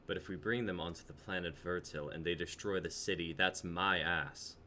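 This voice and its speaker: A man's voice: deep voice, normal speed, pushed and bright, slight background noise. A man playing a stern, authoritative commander in a dramatic reading of a sci-fi script. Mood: resigned, firm. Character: gruff male commander of a sci-fi military coalition.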